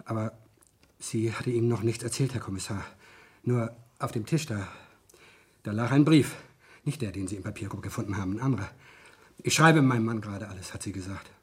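An actor playing a cornered, guilt-ridden office clerk in a 1970s German radio crime drama, speaking in a halting, pleading manner. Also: male voice, lowish voice, darkish tone, slightly rough. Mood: anguished, pleading.

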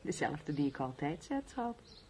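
An actress playing a gentle, worried middle-aged wife and mother in a radio drama. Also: deep voice, sing-song, unhurried, a little hiss or hum.

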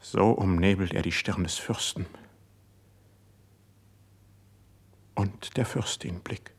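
Impassioned young court poet, wounded and distrustful. Husky, lowish, declamatory radio-play verse. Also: male voice, steady tone, normal speed. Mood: bitter, suspicious. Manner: declamatory, intense stage delivery. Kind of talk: classical German verse radio drama acting.

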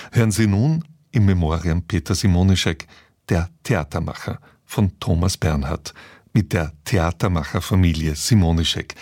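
A man's voice: sits low, a little mellow, no echo, clean studio sound. A man presenting on radio, reading a scripted introduction. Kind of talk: scripted narration, broadcast introduction.

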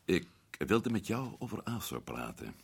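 A husky, earnest hunter back from Africa. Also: male voice, low-pitched, very animated, a little slow, heavily acted. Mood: urgent. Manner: earnest, urgent, emphatic. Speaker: radio play actor. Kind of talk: 1960s radio drama acting.

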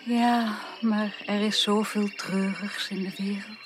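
Actress as melancholy young gentlewoman, medium pitch, subdued, resigned, formal diction, radio drama. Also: little pitch movement, slow pace, noisy background, lightly acted. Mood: gentle, wistful. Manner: formal, resigned, subdued, dropping to breathy near-whispers. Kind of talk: 1980s Dutch radio drama acting.

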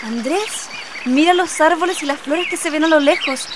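A chatty young Chilean woman, an actress as a student big sister in a drama. Her voice is high and expressive, recorded outdoors with clear background noise. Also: normal speed, lightly acted. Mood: chatty, pleased. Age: young adult.

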